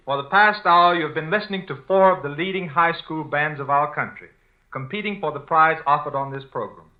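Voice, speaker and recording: A man's voice: a little high, brisk, natural voice. An American man playing a formal, enthusiastic contest host in a 1940s radio announcing style. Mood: proud, formal. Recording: muffled 1940 radio broadcast.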